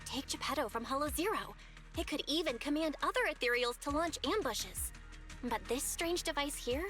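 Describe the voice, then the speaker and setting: A woman voicing an analytical, sceptical young character in an anime-style video game, explaining things in measured technical terms. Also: sits high, animated, fairly bright tone, faint music behind. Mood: analytical, doubtful.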